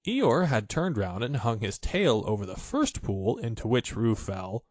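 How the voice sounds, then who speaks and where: A man reading a children's story aloud as an expressive audiobook narrator. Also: medium pitch, a little slow, dry recording, clean studio sound.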